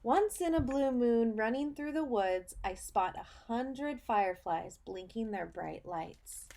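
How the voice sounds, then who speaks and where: A woman teacher reading a story aloud for children, explaining as she goes and pausing to put questions to the listener, with emphasis on key phrases. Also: on the high side, expressive, gravelly, a little mellow. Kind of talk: read-aloud lesson for children, asking questions.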